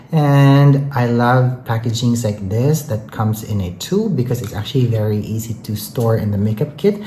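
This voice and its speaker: An enthusiastic beauty vlogger with a mellow, relaxed timbre and an androgynous mid-pitched voice, reviewing makeup in a casual, chatty way. The talk is peppered with "like, you know". The sound is echoey, as in a bare room, with a faint layer of noise. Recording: roomy echo, faint background noise.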